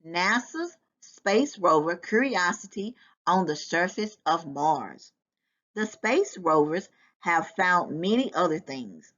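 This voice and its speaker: A woman reading a nonfiction book aloud to children in a deliberate, instructive way. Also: fairly low pitch, very animated, a bit bright. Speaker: volunteer children's book reader. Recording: dull, lo-fi sound.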